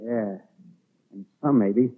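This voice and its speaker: An actor playing a grandiose, scheming artilleryman who survived disaster, talking in excitable, rambling tough-guy slang in an old radio drama. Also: on the low side, slow, slightly rough. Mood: grim, scornful. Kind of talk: 1930s radio drama acting. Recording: muffled lo-fi 1930s radio broadcast.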